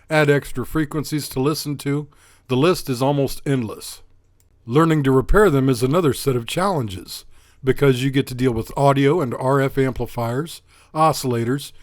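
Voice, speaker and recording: A man's voice: mid-range voice, no echo, studio quality. A man reading scripted explainer narration as host of an electronics channel, in a plain, instructional manner.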